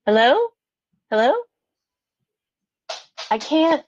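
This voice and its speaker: A woman's voice: high-pitched, very animated, extremely slow, darkish tone, no echo, highs cut off, heavily acted. A woman playing a worried, loving mother in a dramatic table read. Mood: uneasy. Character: worried loving mother of a troubled daughter, later terrified.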